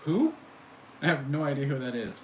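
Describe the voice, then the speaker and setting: A man's voice: fairly high pitch, sing-song, brisk, muffled recording. Chatty man in an echoey room. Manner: chatty, reacting to chat with amused disbelief. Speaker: livestream host. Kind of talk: casual live chat with viewers. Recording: echoey room, faint background noise.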